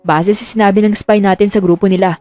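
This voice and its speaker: A woman's voice: medium pitch, fairly even intonation, quick pace, a little mellow, dry recording, soft background music, natural voice. A woman playing a worried, loyal male sidekick, serious and watchful, in a romance audio drama. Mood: serious, wary.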